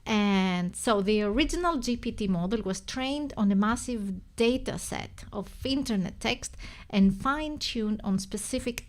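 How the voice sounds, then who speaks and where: A woman with a Greek accent, a native Greek speaker, hosting a casual live stream and thinking aloud with many restarts and self-corrections. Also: mid-range voice. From Greece.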